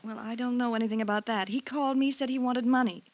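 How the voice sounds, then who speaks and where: An actress playing an anxious, guilty wife who pleads hesitantly in a melodramatic 1950s radio drama style. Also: female voice, on the high side, steady tone, fast, no room sound, natural voice. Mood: defensive, distressed. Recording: dull vintage 1950s radio recording.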